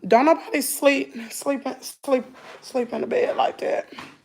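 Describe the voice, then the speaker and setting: A middle-aged woman with a Southern US accent, chatting hoarsely to her viewers at home on a phone livestream. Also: high-pitched, measured pace, slight background noise. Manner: chatty, laughing, drawling, talks to "y'all". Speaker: vlogger on a morning livestream. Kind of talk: casual chat with viewers. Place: at home indoors.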